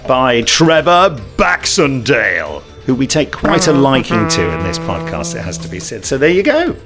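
A man's voice: mid-range voice, wildly swooping pitch, moderate pace, over loud music. A British man hosting a casual podcast, chatting in a jokey, rambling, hesitant way. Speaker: podcast host. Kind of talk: casual unscripted podcast chat.

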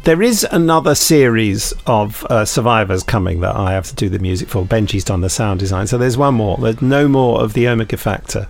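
A man's voice: on the low side, fairly quick, gravelly, hardly any pauses. A British man co-hosting a podcast, reading out listener emails and jokily breaking off to add his own comments. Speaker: podcast co-host.

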